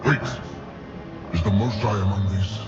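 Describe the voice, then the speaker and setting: An actor playing a cold, imperious alien warlord in a video game, curt and commanding. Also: male voice, lowish voice, fairly even intonation, normal speed, close to an everyday voice. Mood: cold, demanding. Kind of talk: video game dramatic acting. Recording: dull game audio under loud music.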